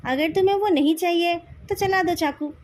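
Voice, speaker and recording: Woman voicing a calm, coolly taunting young man. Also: extremely high pitch, pitched above the performer's own voice. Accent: Indian. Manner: calm, measured.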